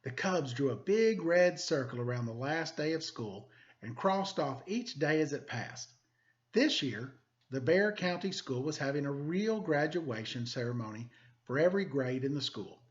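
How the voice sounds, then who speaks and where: A man's voice: a little high, animated, normal speed, dull audio. A man hosting story time, reading a picture book aloud to children with playful, self-deprecating, chatty asides.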